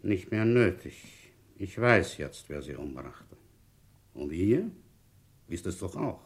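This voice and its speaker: An actor playing a shrewd middle-aged village police inspector in a radio drama, speaking in a measured, ironic, commanding way. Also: male voice, low-pitched, expressive, breathy edge, exaggerated voice. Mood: calm, knowing.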